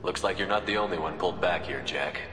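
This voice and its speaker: A man playing a steady, reasoning military squad leader in a video game, firm and direct in delivery. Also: deep voice, fast talker, faint music behind. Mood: probing. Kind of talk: video game cutscene acting. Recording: echoey hall, faint background noise.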